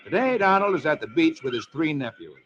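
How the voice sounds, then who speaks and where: Wildly animated jolly radio storyteller. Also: male voice, fairly high pitch, brisk, over loud music. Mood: jolly, lively. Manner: animated, singsong, exaggerated announcing. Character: jolly Comic Weekly Man reading funnies to a child. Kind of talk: 1940s radio storytelling, theatrical scripted narration. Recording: scratchy muffled vintage radio recording.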